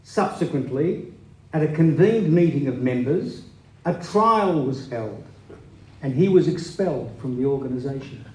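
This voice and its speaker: A man's voice: on the high side, lively intonation, unhurried, warm tone. A man giving a scripted dramatic reading of a memoir on stage in an echoey hall. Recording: echoey live hall recording.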